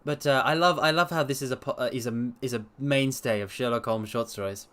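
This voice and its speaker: A man's voice: medium pitch, fairly quick, fairly bright tone. A man streaming a dramatic reading, talking casually with a playful, theatrical manner. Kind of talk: casual livestream commentary and story narration.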